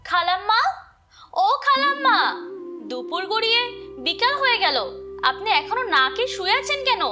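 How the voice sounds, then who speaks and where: A woman's voice: very high voice, very animated, moderate pace. A woman voicing a devoted, anxious village caregiver in a cartoon drama, earnest and emphatic. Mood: alarmed concern. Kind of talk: melodramatic cartoon voice acting. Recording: loud background music.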